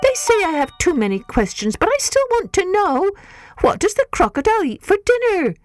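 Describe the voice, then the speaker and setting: A high, squeaky little elephant boy, endlessly curious and always polite, as a woman puts him on. He chirps along in bright, excitable bursts, climbing far above an ordinary speaking voice. Soft music plays faintly underneath. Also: normal speed, no echo. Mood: upset. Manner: polite, pleading. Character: eager curious young male elephant calf.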